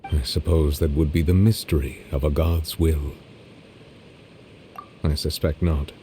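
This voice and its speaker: A man playing a grave, dignified judge in a video game, speaking in a formal, measured, solemn manner. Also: rumbling low, fairly even intonation, mellow, a little hiss or hum. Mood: solemn, reflective. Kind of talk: video game character acting.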